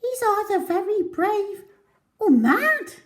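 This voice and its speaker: A woman voicing an excitable, gossipy storybook monkey with a chattering delivery. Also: extremely high pitch, takes it slowly, voice pushed up, exaggerated voice. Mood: amazed. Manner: squealing, chattering.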